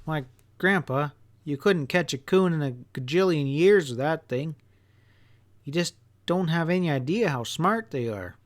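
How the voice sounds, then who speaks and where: A man voicing an earnest, excitable country boy in an audiobook story, speaking in a folksy way. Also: on the high side, measured pace, pitched above the performer's own voice. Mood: doubtful. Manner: eager, protesting, folksy.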